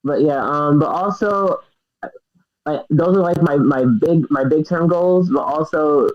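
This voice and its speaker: A young man answers interview questions in a hesitant, giggly way, often pausing on fillers before he settles on an answer. His pitch is middling, and the recording sounds dull and lo-fi. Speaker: guest wrestler. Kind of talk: casual interview.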